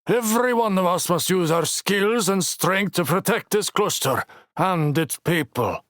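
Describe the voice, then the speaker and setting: A man's voice: high voice, expressive, a little slow, fairly bright tone, dry recording, studio quality, pitched above the performer's own voice. A man voicing a blunt council elder who talks in a thick drawl. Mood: firm.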